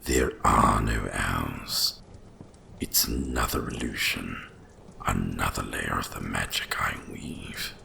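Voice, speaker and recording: A man voicing an ancient, wise dragon guardian of winter in a slow, solemn, gravelly whisper for an ASMR roleplay. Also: sharp tone, slight background noise, full character voice. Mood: grand, revealing. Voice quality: deep gravelly breathy whisper. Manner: slow, solemn, deliberate delivery.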